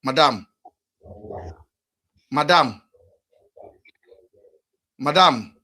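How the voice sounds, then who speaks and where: A man's voice: fairly high pitch, animated, drawn-out words, bright, forceful tone. A Nigerian man with a Nigerian accent hosting a live call-in show, firm and repeatedly interjecting. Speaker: host.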